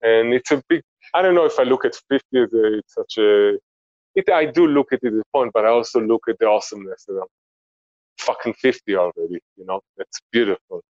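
A man in his forties with an Israeli accent, a podcast guest speaking slowly and reflectively from home, often searching for words. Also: fairly low pitch, darkish tone, no room sound, muffled recording. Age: 40s. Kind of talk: podcast interview. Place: home, remote interview.

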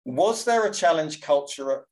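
A man's voice: fairly high pitch, expressive, moderate pace, a little mellow. A man interviewing a guest, asking inquisitive questions built up in layers.

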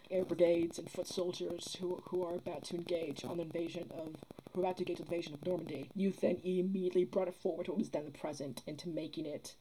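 A woman's voice: on the low side, dark tone, husky, poor audio. A young woman in a casual discussion, earnest and rambling, repeating phrases as she builds her argument. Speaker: podcast guest, theatre producer.

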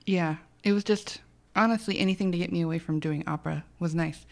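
Brief: thirties woman guest, chatty and self-deprecating, lowish voice. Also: a little fast, vocal fry. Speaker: singer-songwriter guest. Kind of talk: casual podcast chat.

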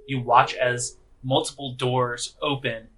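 Bright-voiced man as game master. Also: medium pitch, little pitch movement, low-quality recording. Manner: conversational, halting descriptions. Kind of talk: improvised tabletop game narration. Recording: audible background noise.

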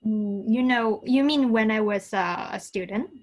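A woman from China with a Chinese accent and Cantonese as her first language, answering as a podcast guest in a halting, explanatory, conversational way. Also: on the high side.

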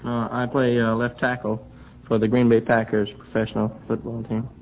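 A man's voice: medium pitch, flat delivery, normal speed. A young man giving short, plainspoken answers as a radio quiz contestant. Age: young adult. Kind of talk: short unscripted interview answers. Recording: dull old radio recording, audience noise.

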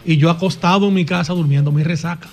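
A man's voice: on the high side, steady tone, fast talker, soft background music. A man from the Dominican Republic with a Dominican accent, telling casual, colloquial anecdotes as a guest on a radio show. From Dominican Republic, grew up in the small town of Castillo.

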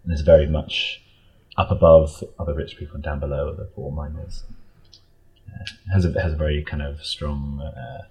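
A man's voice: very deep voice, moderate pace, warm tone, breathy edge, rough recording. A man chatting casually as an interview guest, explaining things in a hesitant, rambling way. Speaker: audio drama creator and sound editor, interview guest.

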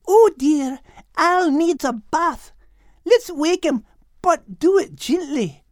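A man voicing a sweet female dog with a gentle, polite and kindly manner in an audiobook. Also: very high-pitched, animated, slow pace, dry recording, studio quality, pitched above the performer's own voice, exaggerated voice. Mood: gentle concern. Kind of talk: animated audiobook character reading.

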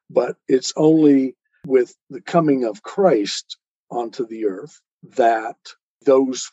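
Dark, husky man explaining deliberately, dull audio. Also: mid-range voice, no echo. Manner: deliberate, declarative, didactic. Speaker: interview guest, author. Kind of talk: explanatory interview talk.

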